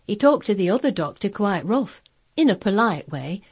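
A woman's voice: medium pitch, sing-song, normal speed, a little mellow, husky. A woman voicing a fretful, fearful young housemaid with a Yorkshire accent, exclaiming and gossipy, in a storybook reading. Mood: confiding. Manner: exclaiming, dialect-speaking, fretful.

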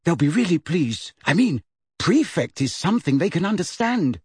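A man's voice: fairly high pitch, big pitch swings, moderate pace, darkish tone, husky, dry recording, clean studio sound, voice pushed up, heavily acted. A man voicing an earnest, bossy teenage girl with a prim way of talking. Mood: tentative.

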